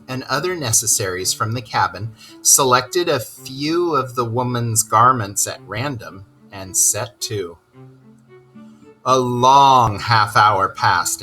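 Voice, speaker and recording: Male children's narrator, expressive and deliberate, with a bright, forceful tone and unhurried pace. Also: mid-range voice, poor audio. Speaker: storyteller reading aloud to children.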